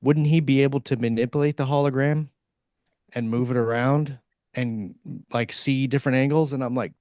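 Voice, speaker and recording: A man with a mid-pitched voice chats as a podcast co-host. He is anecdotal and a little self-deprecating, and the sound is very dry, with no room echo. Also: fairly even intonation, moderate pace, darkish tone. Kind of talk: casual podcast chat.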